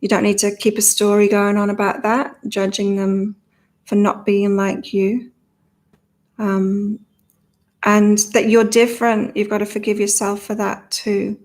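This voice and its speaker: A woman hosts a relaxed online reading session with a slight morning croak in her voice. She chats, laughs often and reads viewers' comments aloud before turning to the cards. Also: medium pitch, measured pace. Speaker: psychic reader and channel host. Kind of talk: casual livestream chat with card readings.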